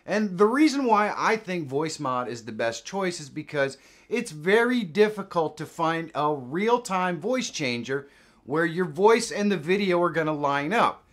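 Tutorial presenter: American male, fifties, lively intonation, casual and emphatic. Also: fairly high pitch, a little slow. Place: small indoor home studio.

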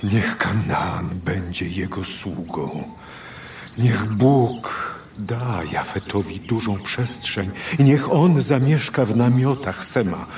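A stern, ancient patriarch in a Bible audio drama. He declaims emphatically and extremely slowly in a lowish voice, away from the mic with faint ambience around him. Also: lively intonation, a little mellow, recorded from a distance, slight background noise. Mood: solemn, declaiming.

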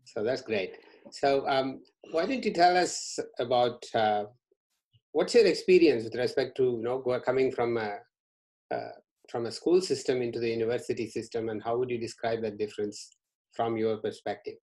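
A middle-aged man interviewing a student over a video call from a small room at home, speaking in a measured way and often repeating words. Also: medium pitch, a little mellow, low-quality recording. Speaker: interviewer, university staff. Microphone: video call webcam.

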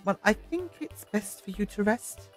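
A man's voice: high-pitched, expressive, moderate pace, soft background music. A man playing an earnest, politely anxious scientist adventurer in an audio drama, stammering a little. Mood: earnest concern.

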